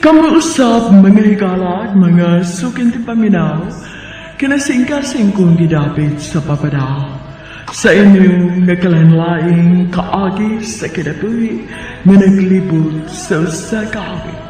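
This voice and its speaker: Heavily reverberant, slow, high male voice, Cebuano Filipino accent, radio announcer, music underneath. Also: mellow. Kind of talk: scripted radio narration. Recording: very echoey, distant, long reverb, music behind.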